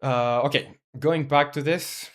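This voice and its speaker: A man's voice: fairly high pitch, fairly even intonation, unhurried. Young man thinking aloud while coding. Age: 20s. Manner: rambling, thinking aloud with fillers, answering chat. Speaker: game developer streamer. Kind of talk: casual live-stream chat.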